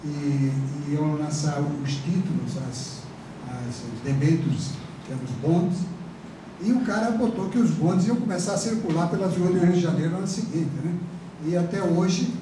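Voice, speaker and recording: A Brazilian man gives a rambling, chatty university lecture, his fairly high voice full of fillers and tag questions. He sounds far from the microphone, in a strongly echoing classroom. Steady background noise runs beneath his words. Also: moderate pace. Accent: Brazilian Portuguese. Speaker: professor. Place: large classroom. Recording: echoey classroom from far off, noisy.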